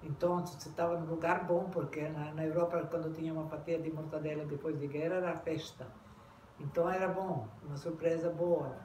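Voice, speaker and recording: A woman's voice: low-pitched, fairly even intonation, mellow. Older lady telling old memories, stops n restarts a lot, far from mic, noisy roomy sound. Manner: halting, many restarts and repeated words. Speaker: interviewee. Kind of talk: oral history recollection.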